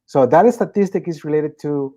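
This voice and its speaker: A man's voice: a little high, lively intonation, normal speed, warm tone. A man in casual chat, steadily explaining statistics with occasional fillers.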